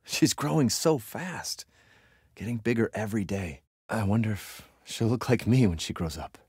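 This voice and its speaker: Game father, tender and devoted, low husky voice, long reverb. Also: expressive, normal speed. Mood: fond. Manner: gentle, affectionate. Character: tender, doting young father musing about his baby daughter. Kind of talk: reflective video game voice acting.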